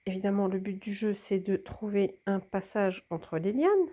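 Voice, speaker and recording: Soft-spoken woman with a cold. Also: medium pitch, lively intonation, normal speed. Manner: talks while filming, drops to whispers. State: a bit congested with a cold. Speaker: plant vlogger. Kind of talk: casual hands-on narration.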